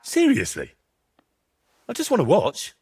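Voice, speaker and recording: A young medieval adventurer from a video game. He is curious and cheeky, with a husky voice that swings widely in pitch. His delivery is casual, persuasive and very expressive. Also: unhurried, heavily acted. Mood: put out. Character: young man in medieval Bohemia, posing as student, cheeky, curious. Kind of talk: dramatic game dialogue acting.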